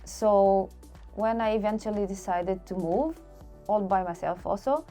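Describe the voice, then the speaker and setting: Mellow woman, late twenties. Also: mid-range voice, unhurried, faint music behind. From Portugal. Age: late 20s. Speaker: interviewee, solo traveller. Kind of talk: candid interview.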